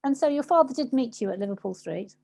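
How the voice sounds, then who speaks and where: A woman with a fairly high, very soft-toned mellow voice conducts a conversational interview. She feels her way tentatively through her phrasing, rewording as she goes. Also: lively intonation, fast, gravelly.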